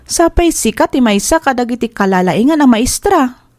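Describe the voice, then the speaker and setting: High, dry-sounding Filipino best friend played by a woman. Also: expressive, normal speed, darkish tone, dry recording, natural voice. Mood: supportive. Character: supportive female best friend, teasing, advice-giving coworker. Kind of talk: Ilocano audio drama acting.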